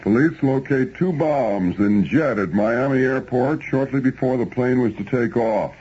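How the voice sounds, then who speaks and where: A man's voice: medium pitch, unhurried, dark tone, lo-fi sound, a little hiss or hum. A man reading scripted news as a radio newscaster, on an old broadcast recording.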